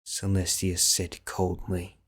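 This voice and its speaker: A man narrating a fanfic audiobook in a measured, grave storytelling manner. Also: sits low, completely flat delivery, a bit bright, husky. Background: background music.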